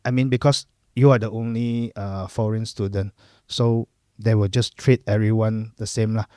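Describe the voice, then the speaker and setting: A middle-aged Malaysian man with a Cantonese mother tongue talks through his memories in a relaxed, reflective way. His voice sits fairly low. The sound is dry and close, with no room echo. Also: moderate pace, smooth. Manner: relaxed, reflective, lots of fillers and "lah" particles. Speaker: guest. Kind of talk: casual interview chat.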